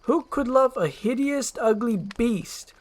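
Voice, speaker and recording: A woman voicing a gruff, wounded male ogre with a sardonic manner in a fairy-tale roleplay. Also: on the high side, animated, takes it slowly, pitched above the performer's own voice. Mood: bitter, hurt. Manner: bitter, forceful, sardonic.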